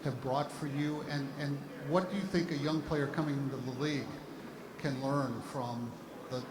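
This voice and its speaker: A man's voice: mid-range voice, fairly even intonation, moderate pace, reverberant, background noise. A man reporter at a press conference asking long, winding questions.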